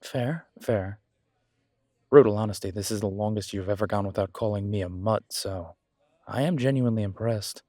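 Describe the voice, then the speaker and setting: A man playing a wry, self-deprecating alpha werewolf in an audio drama, with a sardonic, measured delivery. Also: on the low side, warm tone, dry recording, studio quality, natural voice. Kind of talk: scripted audio roleplay drama.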